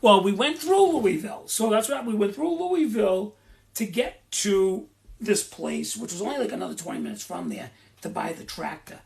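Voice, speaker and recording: A man's voice: sits high, very animated, normal speed, gravelly. A middle-aged man hosting a live question-and-answer stream, talking in a rambling, chatty way with many restarts and asides. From Rhode Island. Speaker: host of a live Q and A. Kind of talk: rambling casual chat.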